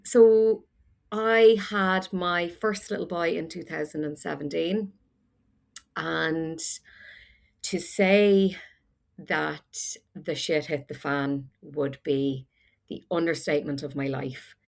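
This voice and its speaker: A woman's voice: low-pitched, steady tone, takes it slowly, creaky. A woman in her forties with an Irish accent, a podcast guest telling her story frankly with self-deprecating humour. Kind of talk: candid storytelling chat.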